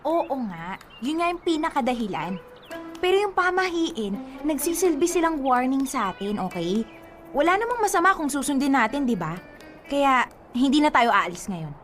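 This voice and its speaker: A woman playing an insistent, superstitious young girlfriend in a Filipino radio drama, with an expressive delivery. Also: sits high, moderate pace, faint music behind. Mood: earnest, insistent.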